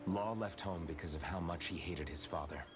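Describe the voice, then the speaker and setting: A man voicing an earnest, supportive young hero in a fantasy video game, speaking in a measured, reassuring way over game music. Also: low voice, lightly acted. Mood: gentle, serious.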